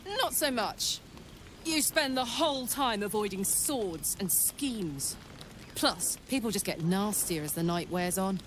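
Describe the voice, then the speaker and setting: A blunt, big-hearted warrior woman from a game, voiced by an actress. She talks at a slow, easy pace in a middle-pitched voice, earthy and heartfelt. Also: animated, a little hiss or hum, lightly acted. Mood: wistful, friendly. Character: hearty, blunt, warm-hearted female fighter escaped from hell. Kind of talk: video game character acting.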